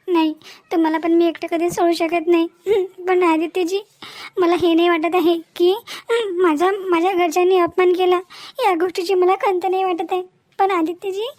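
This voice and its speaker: A woman's voice: very high voice, darkish tone, no room sound. A woman playing a young newlywed wife in a cartoon melodrama, devoted and hurt, speaking in an emotional, plaintive way. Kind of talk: amateur Marathi cartoon melodrama dialogue.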